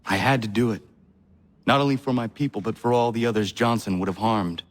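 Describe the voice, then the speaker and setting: An actor playing an earnest, resolute young Native warrior in a video game cutscene, with measured, solemn phrasing. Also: male voice, on the low side, close to an everyday voice. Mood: somber.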